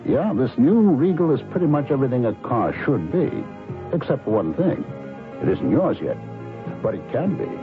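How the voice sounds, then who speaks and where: A man announces a vintage radio commercial with lively pitch movement and polished salesmanship. The recording is dull, with music underneath. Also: normal speed. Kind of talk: 1970s radio ad read.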